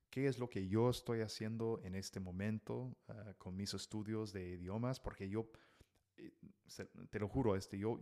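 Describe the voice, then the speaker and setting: A man's voice: fairly low pitch, a little mellow. Chatty man hosting a learner podcast. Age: adult, married. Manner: chatty, full of fillers and self-corrections; uses Mexican slang. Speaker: podcast host. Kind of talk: casual solo podcast talk.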